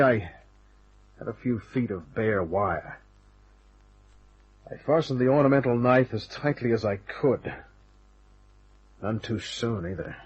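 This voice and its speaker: A man's voice: lowish voice, slightly rough. An actor playing a tough, wisecracking detective hero, telling his story in clipped, wry phrases in an old-time radio crime drama. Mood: tense, terse. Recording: dull, noisy vintage radio recording.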